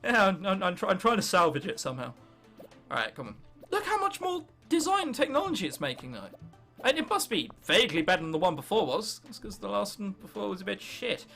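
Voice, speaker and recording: High, animated young English gamer. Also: male voice, a little fast, vocal fry, faint music behind. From England. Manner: self-mocking, sarcastic, swears freely. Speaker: gaming video host. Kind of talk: casual gameplay commentary.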